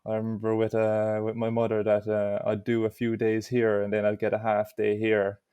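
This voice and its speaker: A man's voice: fairly low pitch, monotone, moderate pace, warm tone, clean tone, no echo. A young Irish man answering interview questions in an easygoing way, with lots of "you know". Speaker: interview guest.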